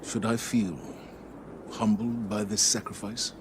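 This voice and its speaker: An actor playing a grave, weary male war commander in a sci-fi game, reflecting in a measured, solemn way. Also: fairly low pitch, little pitch movement, noisy background, natural voice. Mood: somber, reflective. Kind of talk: solemn game cutscene monologue. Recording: music behind the voice.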